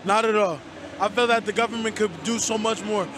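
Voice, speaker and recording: A man's voice: high voice, steady tone, a little fast, background noise. A man from Nigeria who works for a nonprofit, speaking earnestly in a street interview. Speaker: nonprofit worker.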